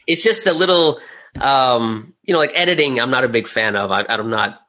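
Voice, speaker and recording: A man's voice: medium pitch, moderate pace, pushed and bright. A middle-aged man talking casually as an interview guest, in a chatty way peppered with "you know" and "right?". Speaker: guest, audio drama creator.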